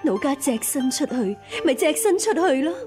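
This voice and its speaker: Devoted young maidservant, high voice, Hong Kong Cantonese, radio drama, music behind. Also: female voice, big pitch swings, normal speed. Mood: tearful, resolute.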